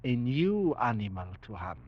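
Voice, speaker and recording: An actor playing a cultured, cold-blooded aristocratic general in a 1940s radio drama, courtly, formal and boastful, with measured relish. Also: male voice, mid-range voice, very animated. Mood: smug relish. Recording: dull vintage 1940s radio recording.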